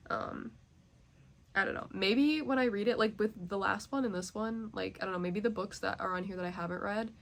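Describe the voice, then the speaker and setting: A young woman rambles through a casual book vlog in a stuffy, congested voice, stopping often to hedge and fill. Her mid-pitched voice drops into a gravelly fry. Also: fairly even intonation, fairly quick. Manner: rambling, hesitant, many fillers. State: nasally congested. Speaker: book vlogger. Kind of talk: casual chat.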